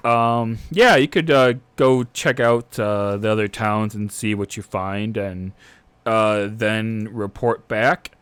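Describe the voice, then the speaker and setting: A man's voice: lowish voice, slow, a bit bright, clean tone, no room sound. A man playing a nervous, unsure new militia captain in a tabletop game, stammering and hesitant. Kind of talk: improvised in-character roleplay.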